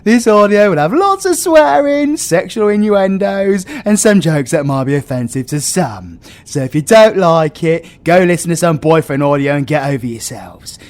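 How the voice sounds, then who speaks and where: A man's voice: a little high, expressive, normal speed, few pauses, lightly acted. Man playing a cocky, swooping British villain. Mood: brash, bossy. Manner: sweary, ranting, sarcastic, theatrical. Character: foul-mouthed, cocky British male supervillain, petulant and sarcastic. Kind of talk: comedic audio roleplay monologue.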